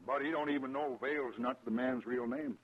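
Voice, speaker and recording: Casting: male actor as colloquial American fort storekeeper, mid-pitched, put-upon, on a very tinny vintage radio recording. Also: steady tone, a little fast, natural voice. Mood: resentful, confiding. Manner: folksy, plain, colloquial. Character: folksy, aggrieved army storekeeper, married working man. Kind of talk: 1950s radio drama acting.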